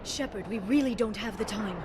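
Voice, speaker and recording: A woman's voice: mid-range voice, fairly quick, very noisy, lightly acted. A woman playing a determined alien scientist in a video game, speaking precisely and with a resolute, challenging manner. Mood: urgent. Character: determined, sharp-minded female alien scientist. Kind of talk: video game drama acting. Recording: loud music behind the voice.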